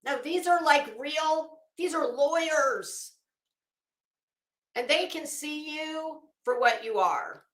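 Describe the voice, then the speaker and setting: A woman with a high voice, recorded in an echoey room. She delivers a dramatic reading as online commentary, mixing formal text with mocking asides. Her manner is blunt, emphatic and openly sarcastic. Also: takes it slowly, fairly bright tone. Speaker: online commentator reading a legal motion aloud.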